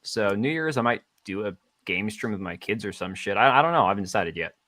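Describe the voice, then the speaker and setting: A man's voice: on the low side, expressive, fast talker, pushed and bright. A man chatting casually as a guest on a group stream, jokey and mildly profane. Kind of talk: casual, joking group chat.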